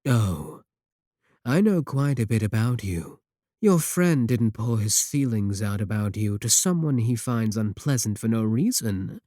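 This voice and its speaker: A man performs as an evil warlock in an intimate audio roleplay, seductive and possessive. The voice is husky and mellow, very close and dry. He teases in slow, deliberate phrases, manipulative and sure of his hold on the listener. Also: on the low side, no echo. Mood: smug.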